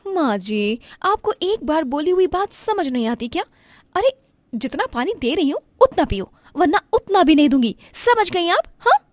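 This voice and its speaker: A woman's voice: very high voice, sing-song, moderate pace, no room sound, voice pushed up. A woman voicing a rich, stingy young daughter-in-law, sweetly affected and condescending, in a moral story. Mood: snappish.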